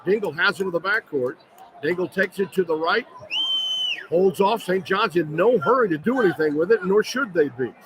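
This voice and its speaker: A man's voice: sits high, expressive, moderate pace. A man calling live basketball on the radio in clipped, urgent phrases as play-by-play announcer. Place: courtside in a large indoor arena. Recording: loud crowd noise behind live radio broadcast. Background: loud arena crowd.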